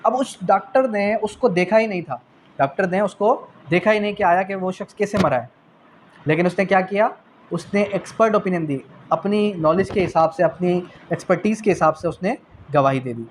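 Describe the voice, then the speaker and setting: A man's voice: high-pitched, moderate pace, a little hiss or hum. A man with a Pakistani accent giving a revision lecture as a law teacher, repeating key phrases, posing questions and answering them himself, and dropping in English terms.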